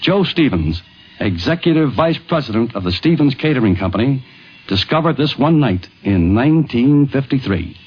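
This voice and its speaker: A man's voice: mid-range voice, animated, moderate pace, husky. A man hosting and narrating a scripted 1950s radio documentary. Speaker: narrator and host of a radio tribute. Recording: dull vintage 1950s radio recording.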